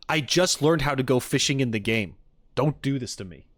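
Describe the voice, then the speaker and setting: A man's voice: mid-range voice, lively intonation, normal speed, no room sound, studio quality. A man streaming casually and chatting with his viewers, with playful asides as he reacts to game content. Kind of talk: casual livestream chat.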